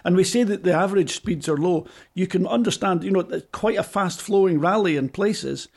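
A British man co-hosting a rally podcast, talking in an animated, emphatic way with frequent restarts. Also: sits high, normal speed, creaky. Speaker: podcast co-host, rally pundit. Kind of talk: casual podcast chat.

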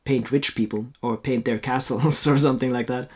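Man, native Norwegian speaker, painter guest; thoughtful, halting delivery. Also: mid-range voice, steady tone, darkish tone, slightly rough. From Norway. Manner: reflective, hesitant, with frequent restarts and light laughs. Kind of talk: casual interview conversation.

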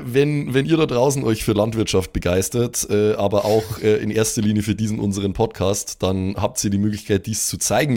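A man's voice: lowish voice, darkish tone. A man. He hosts a podcast, chatting casually and dropping playful asides as he goes. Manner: playful, informal, with joking asides. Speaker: podcast host. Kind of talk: casual chat, thanking supporters.

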